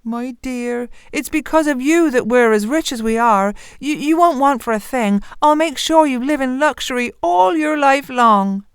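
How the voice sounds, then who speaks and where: A woman's voice: sits high, animated, normal speed, hardly any pauses, clear, resonant tone, no echo, studio quality, pitched above the performer's own voice. A woman voicing a frightened, guilt-stricken miller father in a fairy tale, pleading and emotional. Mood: earnest, promising.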